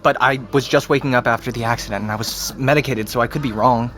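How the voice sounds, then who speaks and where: A man's voice: mid-range voice, steady tone, fast talker, fairly bright tone, lightly acted. An American man playing a reluctant, caring, sardonic teenage boy in an audio drama, casual and understated. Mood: cautious, gentle. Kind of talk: naturalistic audio drama dialogue.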